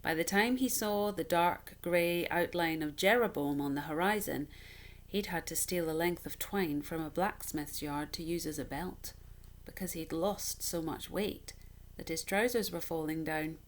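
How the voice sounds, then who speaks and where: A woman reading a children's story aloud in an expressive, measured, dramatic storytelling style. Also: fairly low pitch.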